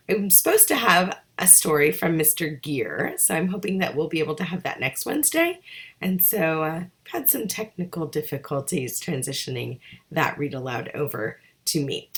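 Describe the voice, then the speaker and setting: A middle-aged woman, hoarse from allergies, giving chatty, upbeat morning school announcements. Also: low-pitched, fairly bright tone.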